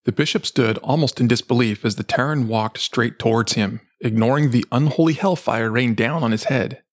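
A man's voice: medium pitch, normal speed. A man reading a fantasy story aloud as dramatic scripted narration. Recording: music behind the voice. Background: dramatic background music.

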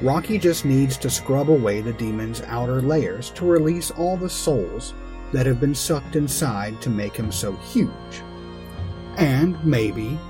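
Slow, animated male story narrator. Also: on the high side. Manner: theatrical, deliberate. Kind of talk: dramatic fantasy story narration, comic.

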